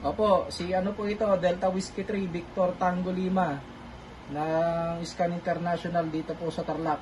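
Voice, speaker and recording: A man's voice: high voice, little pitch movement, normal speed, darkish tone. Guy doing a ham radio call, polite, repeats stuff, noisy static recording. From Philippines. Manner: polite, courteous, repeats himself for clarity. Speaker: amateur radio operator, vlogger. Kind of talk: casual two-way radio exchange.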